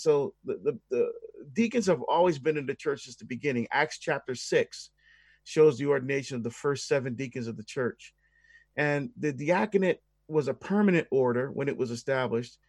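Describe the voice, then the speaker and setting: A man's voice: mid-range voice, no room sound. A man with an American accent speaking as an interview guest, explaining things conversationally. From Barbados and the United States.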